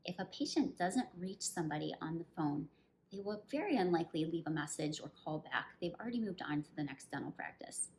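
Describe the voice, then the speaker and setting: Female training presenter, slightly low voice, step-by-step instructional delivery, faint ambient noise behind. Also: lively intonation, brisk, a little mellow, low-quality recording. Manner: clear step-by-step explaining, with acted example phrases. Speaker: dentist and practice coach presenting training.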